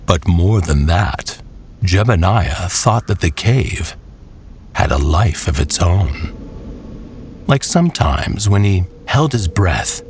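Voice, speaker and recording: A man narrating a scripted horror tale in a dramatic, measured way with pauses. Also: low voice, big pitch swings, a little hiss or hum. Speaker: storyteller. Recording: music behind the voice. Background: clear background music.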